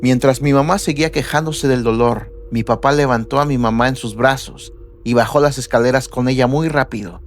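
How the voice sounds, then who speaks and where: A man narrating horror stories in a measured, somber reading style. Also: mid-range voice. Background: faint background music.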